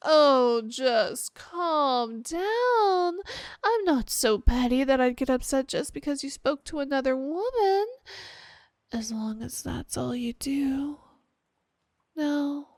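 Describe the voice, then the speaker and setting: A woman playing a sweet, possessive, obsessed wife with quiet menace, cooing and coaxing in a roleplay ASMR style. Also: high voice, no room sound, clean studio sound, exaggerated voice. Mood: sweet, veiled jealousy. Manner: cooing, saccharine, coaxing, drawn-out delivery.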